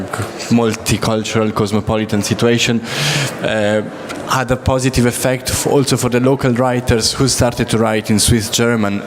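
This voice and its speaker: A man on a literature panel, speaking in a measured, reflective way with pauses. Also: lowish voice. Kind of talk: panel discussion. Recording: loud traffic noise behind.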